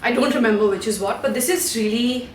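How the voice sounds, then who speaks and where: A woman's voice: on the high side, fairly quick, echoey room, slight background noise. A woman in her thirties with an Indian English accent, chatting candidly to camera in a home vlog, swearing now and then and mixing in Hindi. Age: 30s. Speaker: vlogger. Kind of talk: casual chat to camera. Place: room at home, indoors.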